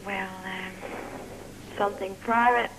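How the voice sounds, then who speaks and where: A woman's voice: mid-range voice, fairly even intonation, slow, fairly bright tone, slight background noise, low-quality recording. A woman on the telephone, answering a presenter's questions in a shy, giggly way. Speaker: caller.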